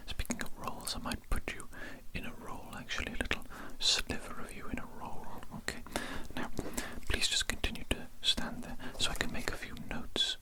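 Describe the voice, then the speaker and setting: An evil cannibal doctor, played by a man for an ASMR roleplay, speaks entirely in a breathy whisper. He is formal, menacing and controlling. His phrases come politely and are often repeated. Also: fairly quick, hardly any pauses, close to an everyday voice. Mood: smug, prim. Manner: formal, politely domineering, repetitive. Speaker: ASMR performer.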